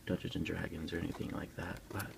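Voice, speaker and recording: A man softly whispering an informal, unhurried ASMR unboxing in a breathy voice. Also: low-pitched, little pitch movement, very noisy. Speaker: ASMR video creator. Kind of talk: ASMR unboxing, softly narrated show-and-tell.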